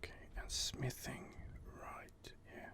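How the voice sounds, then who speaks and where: A man whispering casual gaming ASMR commentary while he reads out game options and muses over them. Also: slow pace. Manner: soft whispering, casual and musing.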